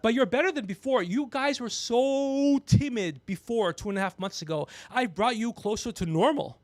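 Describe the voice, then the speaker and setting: A gregarious man hosting a podcast, telling rambling comedic stories with animated, teasing asides. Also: very high-pitched, moderate pace, no echo. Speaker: podcast host. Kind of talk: rambling comedic storytelling on a livestream.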